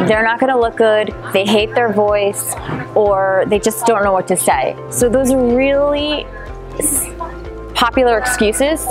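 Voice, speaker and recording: A woman with a New Jersey accent talks through video tips in a confident, upbeat way. Her voice sits at an ordinary middle pitch. Music plays clearly behind her. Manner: confident, advice-giving, upbeat. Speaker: home staging expert and video marketing guest. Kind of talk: casual interview chat. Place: indoors on a couch. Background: background music.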